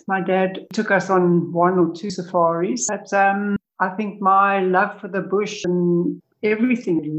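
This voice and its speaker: A woman's voice: lowish voice, muffled recording. An older woman from Tanzania, a podcast guest telling rambling, enthusiastic stories about wildlife sightings. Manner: enthusiastic, rambling, laughs often. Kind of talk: casual interview storytelling.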